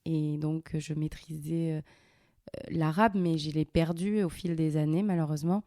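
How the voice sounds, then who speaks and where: A woman in her thirties hosting a casual interview, asking questions in a gentle, measured and thoughtful way. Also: low-pitched, fairly even intonation, no room sound, clean studio sound. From Algeria, raised in France. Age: 30s. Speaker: host interviewing her mother.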